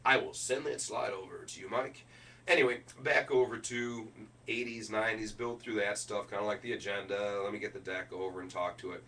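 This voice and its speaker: Middle-aged man, bright forceful voice, keynote rehearsal, emphatic with casual asides, distant mic, faint hum. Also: on the low side, steady tone, a little fast. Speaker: keynote speaker rehearsing a speech.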